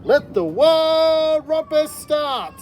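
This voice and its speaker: Man as bossy little boy, storybook read-aloud, high raised voice, commanding drawn-out calls, faint noise. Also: sing-song, darkish tone, heavily acted. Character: wild, bossy little boy in a wolf suit.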